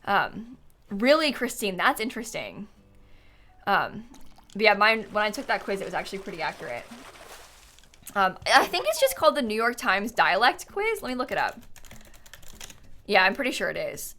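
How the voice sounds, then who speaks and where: A young woman streamer from Central Florida, with an American accent that leans Midwestern. Her voice is bright and forward, at a middle pitch for a woman. She chats loosely with viewers, filling her sentences with "like" and "um" and laughing often. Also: big pitch swings, moderate pace, long pauses, a little hiss or hum. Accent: American, Midwestern-leaning. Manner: chatty, rambling, full of "like" and "um", laughs often. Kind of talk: casual livestream chat answering viewers.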